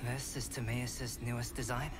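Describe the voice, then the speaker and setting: An actor playing a calm, scholarly young male alchemist in a video game trailer, measured, contemplative and precise. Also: mid-range voice, background noise, lightly acted. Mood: composed. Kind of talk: scripted character trailer narration. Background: cinematic trailer music.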